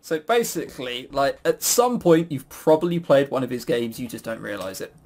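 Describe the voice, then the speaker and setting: A man's voice: medium pitch, expressive, moderate pace, a little mellow, slight background noise. A man hosting a quiz in a casual, jokey, teasing way. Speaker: quiz host.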